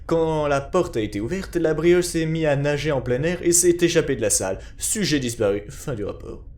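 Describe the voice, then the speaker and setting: A man's voice: on the high side, expressive, moderate pace, a little mellow. A man giving a scripted narration in a formal, clinical report style.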